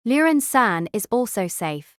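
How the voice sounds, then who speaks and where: A woman's voice: fairly high pitch, sing-song, measured pace, a bit bright, smooth, dry recording, clean studio sound, pitched above the performer's own voice. A woman voicing a composed young female student in audio drama dialogue. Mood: calm, reporting.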